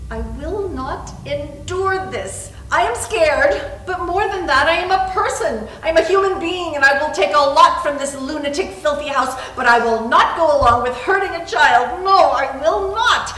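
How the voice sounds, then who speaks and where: Horror readers theater: desperate, indignant young woman, female performer, high and bright, in an echoey room. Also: normal speed, hardly any pauses. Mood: defiant, anguished. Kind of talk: dramatic readers theater reading of a horror novel. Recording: echoey room, distant microphone.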